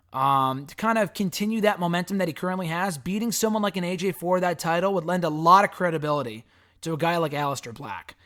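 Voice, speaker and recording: A man with a hoarse, raspy voice hosting a wrestling show, answering fan questions in a rambling, frank and casual way. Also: sits high, fairly bright tone. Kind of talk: casual solo commentary.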